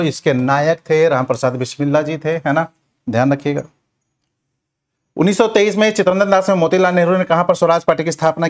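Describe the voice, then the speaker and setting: A man teaching an exam revision class in question-and-answer form, addressing students directly with repeated check-in tags. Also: on the high side. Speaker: history teacher for competitive exams.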